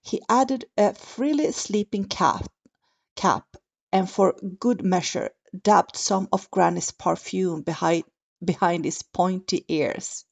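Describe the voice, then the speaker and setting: A woman tells a children's bedtime story at an unhurried pace. Her voice has noticeable fry, and she reads gently and deliberately, with expression. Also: lowish voice. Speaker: mother reading a bedtime story.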